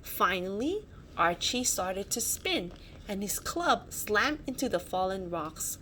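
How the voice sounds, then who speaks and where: A woman storyteller reads a children's picture book aloud. She takes her time and varies her pitch dramatically, adding small asides. Faint noise sits behind the voice. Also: slow pace, rough recording. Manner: dramatic, expressive.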